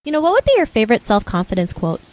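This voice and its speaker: A woman's voice: on the high side, wildly swooping pitch, very fast, a little mellow, no room sound. A woman hosting an interview podcast, upbeat and affirming, with many "you know" fillers. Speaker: podcast host.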